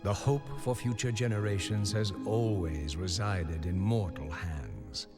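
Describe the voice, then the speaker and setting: Deep, solemn old prophet over loud music. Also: male voice, expressive. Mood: solemn, serene. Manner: oracular, measured. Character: mysterious old male prophet, wise and solemn. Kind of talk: dramatic game narration.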